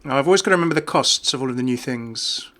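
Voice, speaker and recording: A man's voice: mid-range voice, animated, fast talker, sharp tone. A man giving casual, off-the-cuff video game commentary in a chatty, enthusiastic way. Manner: chatty, enthusiastic about small details.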